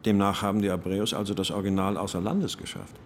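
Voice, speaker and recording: A man's voice: low-pitched, little pitch movement, fast, a little hiss or hum, lightly acted. An actor playing an inquisitive senior police officer in a radio drama, probing his way through a case in colloquial speech.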